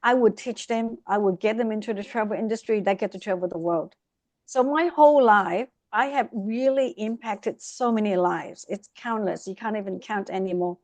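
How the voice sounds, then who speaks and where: Podcast guest: middle-aged woman, expressive storyteller, dry close sound. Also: medium pitch, moderate pace, dry recording. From Vietnam. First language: Vietnamese. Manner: lively, expressive, speeds up in bursts. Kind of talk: casual interview storytelling.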